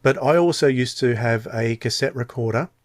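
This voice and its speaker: A man's voice: medium pitch, moderate pace, no room sound, studio quality. A man in his forties with an Australian accent, co-hosting a podcast in casual, chatty speech. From Tasmania, Australia. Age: 40s. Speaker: podcast co-host.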